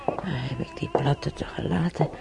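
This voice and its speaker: An actress playing an ailing older woman in a radio drama, reminiscing wistfully about wartime, breathy and halting, often sinking to a whisper. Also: deep voice, mellow, soft background music. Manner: halting, murmuring, repeating herself.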